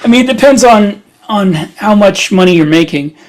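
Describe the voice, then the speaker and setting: Higher-pitched man giving practical podcast advice. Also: expressive, moderate pace. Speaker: podcast co-host. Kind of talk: casual podcast discussion.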